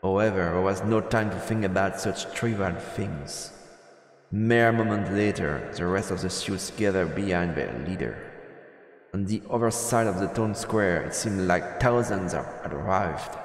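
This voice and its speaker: Low-voiced male narrator in heavy reverb. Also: unhurried. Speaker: streamer, narrator. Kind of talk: reading visual novel narration aloud. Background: game music.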